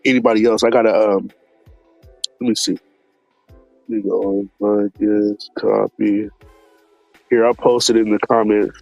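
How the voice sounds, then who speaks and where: A man hosting a casual live stream, talking in a relaxed, slangy way and sounding a bit stuffed up from allergies. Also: lowish voice, unhurried, creaky, long pauses, dry recording, dull audio, faint music behind. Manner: laid-back, slangy, many fillers, greets chat viewers by name.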